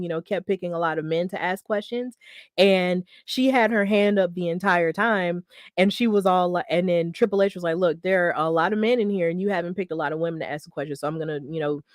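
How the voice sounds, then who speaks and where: A woman's voice: on the low side, no room sound. Chatty woman podcast host, dry sound. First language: English. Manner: chatty, upbeat, with frequent fillers and self-corrections. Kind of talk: solo wrestling news podcast.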